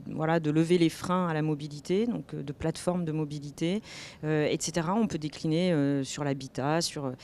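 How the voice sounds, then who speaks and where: A woman's voice: deep voice, fairly even intonation, brisk, dark tone. A woman who is an elected official, giving explanatory answers in a panel interview, phrasing hesitantly and repeating words. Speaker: elected official, vice-president of a local authority. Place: TV set at a convention.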